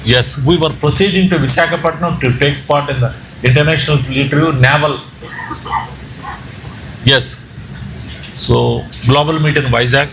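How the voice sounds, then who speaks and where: Indian telugu guy giving an informal talk with slides, sharp bright voice, stumbles and repeats words, echoey room. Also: fairly high pitch. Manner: halting, repeats words and self-corrects. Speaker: presenter, amateur radio operator and trainer. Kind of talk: informal slide presentation to an audience. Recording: echoey room with background noise.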